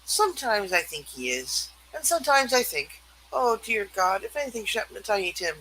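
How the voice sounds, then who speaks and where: A woman's voice: medium pitch, sing-song, a little fast, bright, forceful tone, slight background noise, rough recording. A woman playing a fussing, fiercely protective working-class mother, theatrical and exclaiming, in a radio play reading. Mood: worried.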